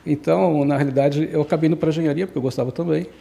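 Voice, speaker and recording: Man in his 60s, podcast guest; quick, mellow, rambling delivery that keeps self-correcting. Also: a little high, steady tone, creaky. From Brazil. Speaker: guest engineer. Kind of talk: casual podcast interview.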